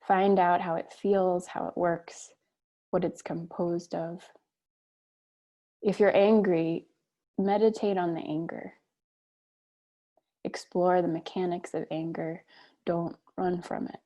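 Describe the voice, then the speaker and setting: A woman leading a guided meditation on a video call, speaking gently and unhurriedly with long pauses. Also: fairly low pitch, flat delivery.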